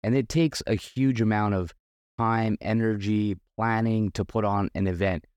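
A man hosting a solo podcast, earnest and motivational, his voice a bit hoarse from losing it, with a slight cough. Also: lowish voice, steady tone, normal speed, darkish tone, dry recording, dull audio. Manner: earnest, motivational, with many restarts. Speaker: podcast host.

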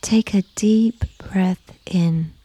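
Slow, breathy, near-whispering woman. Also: mid-range voice, dry recording, low-quality recording. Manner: gentle, unhurried, soothing delivery. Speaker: guided meditation narrator. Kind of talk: slow scripted sleep visualization, soft and whispery.

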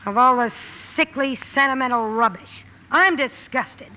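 A woman's voice: high voice, a little slow, sharp tone. An actress playing a headstrong, brusque tomboy young woman who wants to write, in old-time radio drama style. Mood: indignant. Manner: brusque, emphatic. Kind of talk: 1940s-50s radio drama acting. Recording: noisy vintage radio broadcast recording.

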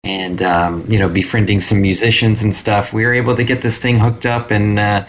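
Lower-voiced man chatting as interview guest. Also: flat delivery, normal speed, poor audio. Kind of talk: casual chat, anecdotal.

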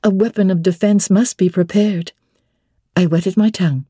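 Female audiobook narrator: formal, expressive, measured delivery with a dark, soft tone and very dry studio recording. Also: mid-range voice, breathy edge, no echo. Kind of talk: dramatic literary audiobook narration.

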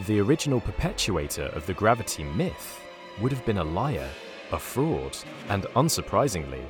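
An actor playing a wry, grandiose narrator, delivering scripted mock-documentary storytelling in a dramatic, mock-serious way. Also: male voice, fairly low pitch. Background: music.

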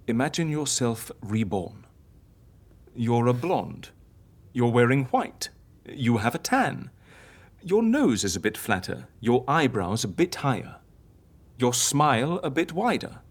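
A woman's voice: rumbling low, sing-song, a little slow, slightly rough, heavily acted. A woman playing an eccentric female healer in an audio drama, theatrical, playful and persuasive. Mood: coaxing, solemn.

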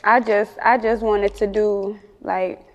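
A woman's voice: medium pitch, level tone, takes it slowly, long reverb tail, highs cut off. A woman with a Chicago accent chatting casually in an interview as a rapper, with slangy, sweary talk. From Chicago, United States.

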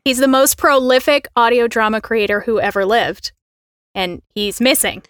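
A woman's voice: fairly high pitch, fairly even intonation, moderate pace, a bit bright, no echo, studio quality. A woman co-hosting a podcast with casual, reactive group banter. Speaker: podcast co-host.

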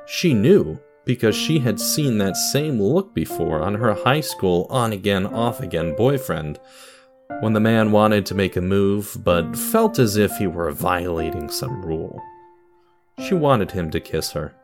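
A man's voice: fairly low pitch, expressive, a little slow, over music. A man reading scripted narration for an audio drama, telling a story in a dramatic style.

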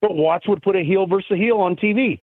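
A man's voice: high-pitched, fairly quick, dry recording. A male guest reminiscing enthusiastically in casual chat, with a slight tickle in his throat. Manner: enthusiastic, reminiscing, many "you know" fillers. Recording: tinny phone line, dry.

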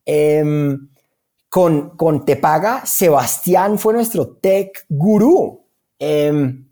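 Mellow, higher-pitched Colombian man. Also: very slow. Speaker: interview guest, startup founder. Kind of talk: conversational interview answers.

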